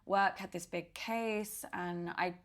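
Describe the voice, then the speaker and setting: An actress playing an anxious young niece in an interactive film, speaking hesitantly with stammers. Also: female voice, lowish voice, steady tone, takes it slowly. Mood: apologetic. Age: young adult. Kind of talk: naturalistic film dialogue. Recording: background music under the voice.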